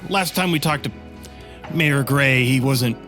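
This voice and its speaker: A man takes part in a casual tabletop roleplay with clear music behind him. His mid-pitched voice is hesitant, halting between short phrases. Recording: music under the voice. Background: background music.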